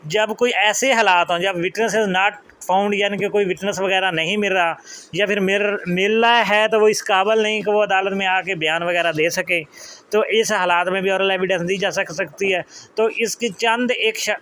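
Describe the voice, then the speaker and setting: A man's voice: high voice, pushed and bright, slight background noise. A man with a Pakistani accent giving an explanatory lecture, reading out formal legal text and then explaining it. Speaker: law lecturer.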